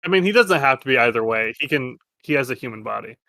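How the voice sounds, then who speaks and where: A man's voice: mid-range voice, animated, fast, creaky, pushed and bright, dry recording. A man acting as game master, narrating a tabletop game in a casual style.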